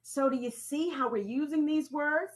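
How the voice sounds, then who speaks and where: A woman leading an interactive training talk in a deliberate, teacherly way, putting questions to her audience and repeating key phrases. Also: sits high. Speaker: presenter leading a training session. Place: online conference call with slides.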